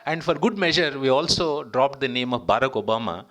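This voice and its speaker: A man from India with an Indian accent delivers a public speech as a guest diplomat. His mid-pitched voice is formal and emphatic. He sets out his points in short, deliberate phrases, as one addressing a gathering of professionals. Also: lively intonation, fairly bright tone.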